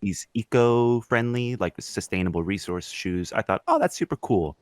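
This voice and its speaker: A man's voice: fairly low pitch, normal speed. A young man co-hosting a podcast in casual chat, talking in a slangy, mildly profane way. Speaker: podcast co-host.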